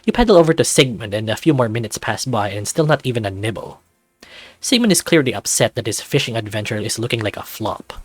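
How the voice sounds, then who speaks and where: A man reading a visual novel aloud as its narrator, in an expressive storytelling style. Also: on the low side, fast talker, no room sound, faint music behind.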